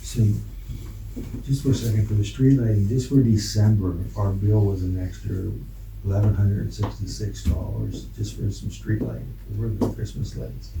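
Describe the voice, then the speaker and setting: A man's voice: lowish voice, fairly even intonation, normal speed, vocal fry, distant mic. An American man discussing business informally at a council meeting in an indoor chamber. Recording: echoey room, faint background noise.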